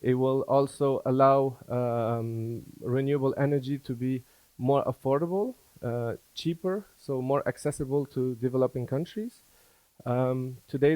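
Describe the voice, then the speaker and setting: A man from Afghanistan who was schooled in France, giving a business presentation as a company founder, with halting, hesitant phrasing. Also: mid-range voice, mellow, no room sound, low-quality recording. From born in Afghanistan, schooled in France.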